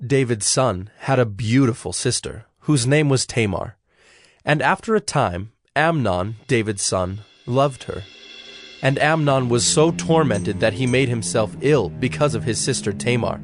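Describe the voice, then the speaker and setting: A man giving a dramatic scripted narration of scripture as the narrator. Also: mid-range voice. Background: music.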